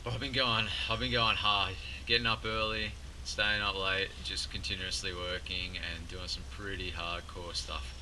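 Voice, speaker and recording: A man's voice: sits low, level tone, moderate pace, few pauses. A man in his thirties hosting a sailing vlog in a casual narrating style, recorded with outdoor noise. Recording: clear outdoor background noise.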